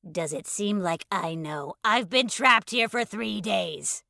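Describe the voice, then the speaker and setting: Audio fiction: odd, tiny mystic lady played by a woman narrator, raw crackling voice, slow sing-song pronouncements, dry close sound. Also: animated, creaky, sharp tone, dry recording, exaggerated voice. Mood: sassy, irritated. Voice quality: high-pitched, crackly, raw. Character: small, eccentric, crazy mystery older woman, slow and cryptic.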